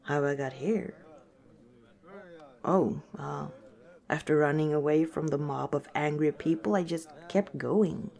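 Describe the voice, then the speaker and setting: Woman voicing a slow, gentle orc tavern keeper. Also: low voice, vocal fry. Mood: confiding. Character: gentle, wistful female orc tavern owner. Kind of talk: ASMR roleplay storytelling.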